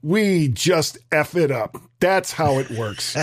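A man's voice: a little high, lively intonation, takes it slowly. A man with a scratchy, strained voice chatting casually as a podcast co-host.

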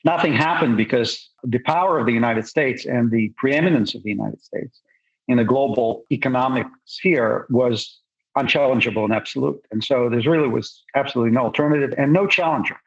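A husky-voiced man in his sixties talks with a Russian accent, working through his explanation in a measured way, pausing on fillers and restarting phrases. His voice comes through a somewhat muffled, lo-fi line. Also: mid-range voice. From Soviet Union. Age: 60s. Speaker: guest, gold investor. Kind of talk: podcast interview.

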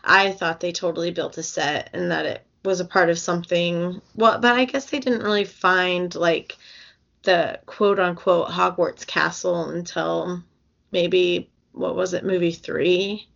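A young woman chatting slowly on a casual podcast, her voice gravelly and starting to go. She rambles, circling back with fillers and restarts. The recording is dull and lo-fi. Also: on the low side, steady tone, a bit bright. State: losing her voice. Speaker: podcast co-host. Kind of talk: casual book podcast chat.

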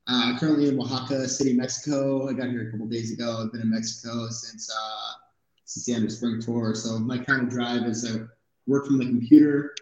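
A man's voice: mid-range voice, level tone, fairly quick, darkish tone, heavy reverb, highs cut off. A man in his twenties from New Jersey, a podcast guest talking casually and laughing often, with lots of fillers. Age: 20s. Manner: laid-back, laughs often, many fillers. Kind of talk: casual chat.

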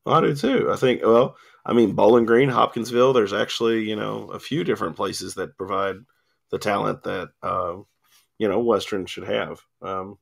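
Male sports radio host, gravelly, loose and rambling delivery, studio mic, voice wearing thin. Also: on the low side, normal speed. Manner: rambling, lots of ums and filler. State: losing his voice, coughing. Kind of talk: casual sports radio chat. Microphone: studio mic with cough button.

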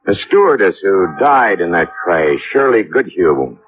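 A man's voice: deep voice, big pitch swings, a little slow. An actor playing an authoritative male police captain in 1950s radio drama style, stolid, blunt and official. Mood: blunt, questioning. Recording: phone-like, hissy old radio recording.

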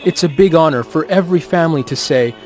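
A man gives a documentary translation voice-over. His pitch sits a little above usual, and his reading is slow and solemn. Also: over music. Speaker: voice-over interpreter.

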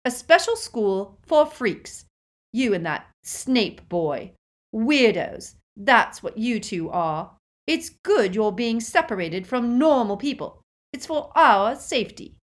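A woman voicing a bitter, scornful older sister in an audiobook, with a sneering, forceful delivery. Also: medium pitch, wildly swooping pitch, measured pace, voice pushed up.

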